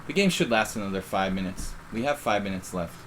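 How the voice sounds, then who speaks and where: Low-voiced man with faint Philly accent. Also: expressive, brisk, slight background noise. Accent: faint Philadelphia. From Philadelphia. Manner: casual, rambling, self-interrupting. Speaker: chess streamer. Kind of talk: live chess commentary.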